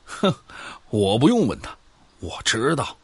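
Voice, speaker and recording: A man acting an argumentative know-it-all in a sci-fi radio play, recorded close and dry. His pitch moves in a lively way as he needles and lectures his drinking buddy. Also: a little slow, creaky, slightly rough, dry recording, heavily acted. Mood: scoffing, insistent. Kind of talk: Chinese sci-fi audio drama dialogue.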